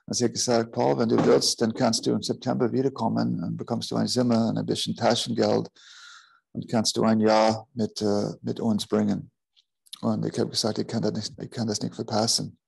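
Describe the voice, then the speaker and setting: Gravelly low-voiced man. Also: completely flat delivery, a little mellow, low-quality recording. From United States. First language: English. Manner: halting, searching for words in a second language. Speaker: teacher of a healing school. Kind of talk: personal storytelling in a talk.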